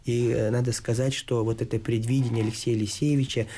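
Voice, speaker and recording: A man hosting a studio discussion on TV, a native Yakut speaker talking in a measured, formal way with frequent pauses. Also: mid-range voice, level tone, warm tone, a little hiss or hum. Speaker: TV talk show host.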